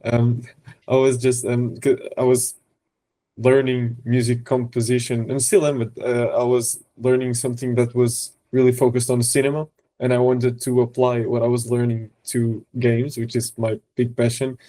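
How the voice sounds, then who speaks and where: A man's voice: medium pitch, flat delivery, moderate pace, mellow. A man, a composer being interviewed, who speaks hesitantly with false starts. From Portugal. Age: 20s. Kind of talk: interview guest.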